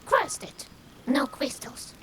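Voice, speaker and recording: A woman voicing a childlike, hungry, bloodthirsty little trickster creature in a fantasy drama, speaking in halting short phrases. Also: noisy background, lightly acted. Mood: sulky, disappointed. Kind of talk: cinematic fantasy voice acting.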